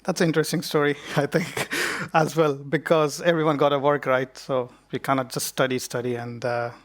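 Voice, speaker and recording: A man's voice: mid-range voice, brisk. Laughing young man from Nepal on stage. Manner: laughs often, relaxed, searches for words. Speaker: conference speaker and guest. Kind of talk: live stage conversation. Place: conference stage.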